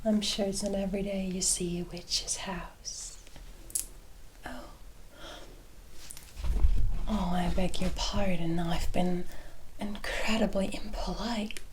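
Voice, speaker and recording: A woman playing a playful, enigmatic forest witch in an ASMR roleplay, teasing the listener in a breathy whisper with a coy manner. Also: medium pitch, fairly even intonation, slight background noise, close to an everyday voice, rough recording. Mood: gracious, soft. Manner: slow, coy, teasing, addressing the listener as honey.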